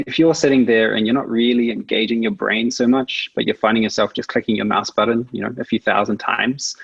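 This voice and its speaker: Brisk, gravelly New Zealand man. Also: lowish voice, dry recording, lo-fi sound. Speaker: guest expert, product manager. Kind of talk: casual explanatory interview talk.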